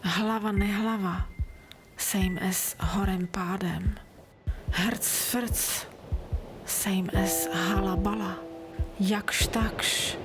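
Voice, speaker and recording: Whispering woman teacher, flat and slow, clear music underneath. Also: medium pitch. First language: Czech. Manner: hushed whisper. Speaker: language teacher. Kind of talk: whispered aside.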